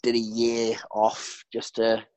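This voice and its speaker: A man's voice: medium pitch, completely flat delivery, slow pace, darkish tone, no echo. A British man in his twenties, a podcast guest chatting casually, laughing often and swearing freely. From Britain. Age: 20s. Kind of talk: casual interview chat.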